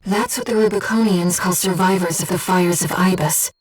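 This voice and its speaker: A woman voicing an earnest, gentle guide who speaks inside a pilot's head in a mecha video game, in measured scripted delivery. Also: fairly low pitch, fairly even intonation, slightly rough, distant mic, faint music behind, poor audio. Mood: focused briefing. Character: soft-spoken, earnest female voice in a pilot's head, loyal guide. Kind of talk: scripted video game voice acting, tactical callouts.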